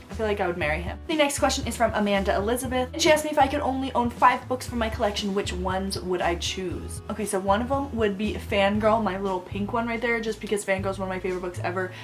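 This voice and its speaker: A young woman hosting a casual book vlog Q&A from her bedroom, her voice a little rough from a coming cold, gushing excitedly about her favorites. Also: mid-range voice, fast, hardly any pauses, soft background music. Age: young adult. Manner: gushing, excitable fangirl chatter. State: voice a bit rough from getting sick. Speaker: book vlogger. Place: bedroom with bookshelves, indoors.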